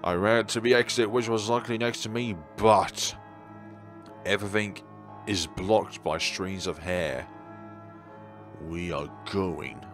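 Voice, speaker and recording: A man reading a horror game aloud and commenting on it in a theatrical way, given to sudden loud outbursts. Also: sits low, fairly even intonation, takes it slowly, pauses often. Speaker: let's player. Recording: background music behind the voice. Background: game music.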